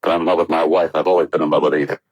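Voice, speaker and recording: An actor playing an earnest, troubled young American test pilot, hesitant and subdued in 1950s radio drama style. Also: male voice, rumbling low, level tone, racing, husky, no room sound, heavily acted. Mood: guilty, pleading.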